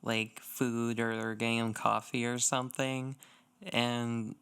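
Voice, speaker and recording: Smooth, clear-voiced young man chatting casually. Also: medium pitch, level tone, slow, a bit bright. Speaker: podcast co-host. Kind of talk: casual gaming podcast chat.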